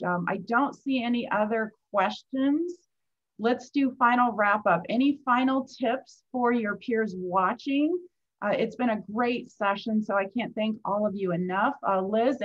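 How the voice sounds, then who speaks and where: A woman's voice: a little high, unhurried. A woman hosting a webinar panel over a video call, encouraging her guests and passing along audience questions. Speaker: webinar host. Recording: slightly dull video call audio.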